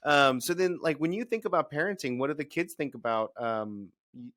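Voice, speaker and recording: A man's voice: on the high side, a little fast, a bit bright. A man hosting a casual podcast interview, enthusiastic and effusive, laughing easily and piling on praise. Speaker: podcast host.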